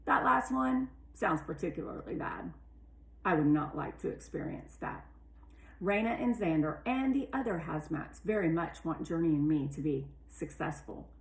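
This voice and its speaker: A woman's voice: low voice, animated, moderate pace, creaky. A woman narrating as a curious, earnest robot rover longing to succeed, careful and precise, in a roomy storybook recording. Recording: roomy, echoey sound.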